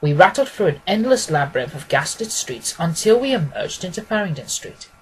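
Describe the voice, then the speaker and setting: A man's voice: high voice, very animated, fairly quick, breathy edge, low-quality recording. A man narrating a scripted story as an audiobook reader.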